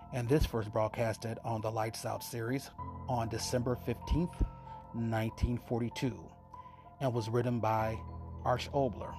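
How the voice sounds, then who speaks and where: A man hosting a radio play show, giving a conversational introduction. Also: medium pitch. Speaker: podcast host. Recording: muffled voice over prominent music.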